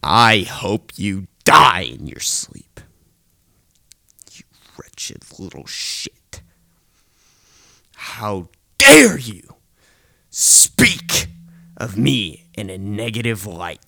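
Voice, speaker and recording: A man's voice: lowish voice, wildly swooping pitch, extremely slow, vocal fry, long pauses, pushed and bright, dry recording. A young man delivering a seething, hateful rant, often dropping into a hissed whisper.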